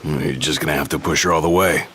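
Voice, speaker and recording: An actor playing a big, gruff young tough guy in a video game, bossy and teasing. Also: extremely low pitch, fairly even intonation, quick pace, a bit bright, heavily acted.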